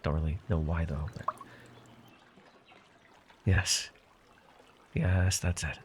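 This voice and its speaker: A man's voice: extremely low pitch, steady tone, slow pace, slight background noise. A man playing a chatty, wry and friendly fae potion brewer in a fantasy audio roleplay, talking to the listener with hesitant asides. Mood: explanatory, focused.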